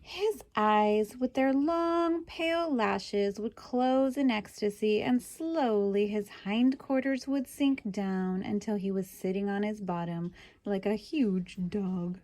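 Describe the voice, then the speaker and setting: A woman's voice: mid-range voice, slow, a little mellow, no room sound. A woman reading a children's book aloud expressively, adding chatty asides to young listeners. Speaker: storybook reader.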